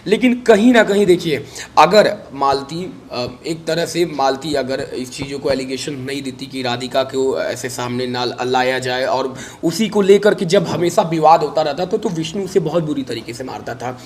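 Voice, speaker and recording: A man's voice: mid-range voice, moderate pace, few pauses. A man giving unscripted interview answers in an emphatic way, repeating phrases for weight. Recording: noisy recording with background ambience.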